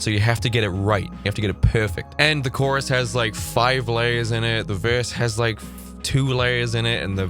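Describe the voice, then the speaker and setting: A man with a Northern Irish accent and a slightly hoarse voice, chatting animatedly as he reacts to clips at a desk mic in his streaming room. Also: medium pitch. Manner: animated, quick asides, frequent laughter. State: slightly hoarse from vocal fatigue. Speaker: goth reaction video host. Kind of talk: casual reaction commentary. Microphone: desk mic on boom arm.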